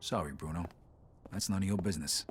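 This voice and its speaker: A man's voice: deep voice, a little fast, slightly rough. An actor playing a weary young mobster who answers curtly and narrates his story in a game. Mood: terse. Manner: terse, guarded, reflective in narration. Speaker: protagonist and narrator. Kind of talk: video game cutscene acting.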